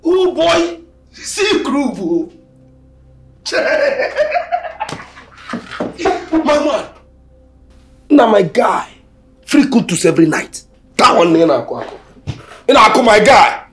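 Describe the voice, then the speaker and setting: An actor with a Nigerian accent playing a joking young man who teases his friend excitably. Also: medium pitch, a little slow, creaky, pauses often, music in the background. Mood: playful. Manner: playful, teasing, excitable.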